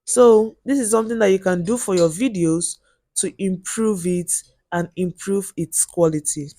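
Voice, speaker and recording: A woman with a loud voice teaching video editing step by step on a handheld mic, in a chatty way with lots of "okay" and "right?". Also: medium pitch, normal speed. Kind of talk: screen-recorded app tutorial.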